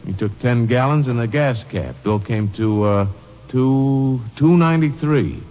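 A man's voice: medium pitch, measured pace, in a large hall, lightly acted. Man playing a defensive gas station owner. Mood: explaining. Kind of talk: 1940s radio drama acting. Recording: dull old radio recording with hiss.

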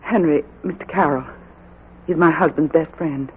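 Anxious wife on old radio. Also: female voice, low voice, moderate pace, husky. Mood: subdued worry. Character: anxious, pleading wife of a kidnapped millionaire. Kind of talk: 1940s radio drama acting. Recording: narrow-band, faintly noisy old radio recording.

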